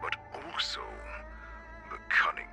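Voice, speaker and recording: A male actor playing a ruthless wolf-beast warlord in a video game, with a growling voice and slow, menacing, grandiose delivery. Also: over music, natural voice. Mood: sinister gloating. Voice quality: gravelly, growling, breathy snarls. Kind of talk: dramatic video game villain acting.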